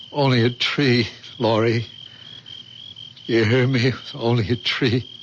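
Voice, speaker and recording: An actor playing an exasperated, insistent husband in a radio mystery drama, urgent and commanding. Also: male voice, mid-range voice, lo-fi sound, a little hiss or hum, lightly acted. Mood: shaken, hushed.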